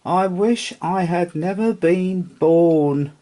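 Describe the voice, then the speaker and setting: A man's voice: high voice, takes it slowly, warm tone, pitched above the performer's own voice. A man voicing a wistful, eager toy rocking horse in a children's storybook, with an earnest singsong delivery. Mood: forlorn.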